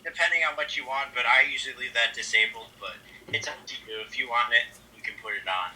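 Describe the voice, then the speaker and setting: Guy helping out over video call, super sharp bright voice, chatty explainer, bit of noise. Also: medium pitch, quick pace, low-quality recording. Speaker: helper guiding setup over a video call. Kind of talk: casual instructional chat. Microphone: video call heard through a device speaker.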